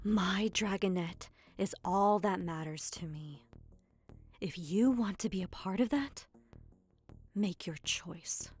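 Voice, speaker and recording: A woman's voice: mid-range voice, takes it slowly, darkish tone, husky, faint music behind. A woman playing a fiercely protective, defiant mother dragon with emotional conviction in an audio drama. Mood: cold, quiet defiance.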